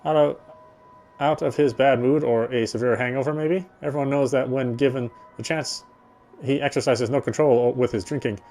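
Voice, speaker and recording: A man reads first-person game narration aloud. His voice is mid-pitched and relaxed, with a conversational delivery that sometimes trips and restarts. Soft music plays behind him. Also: normal speed. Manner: reads conversationally, with stumbles and repeats. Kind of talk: first-person reading of a visual novel script.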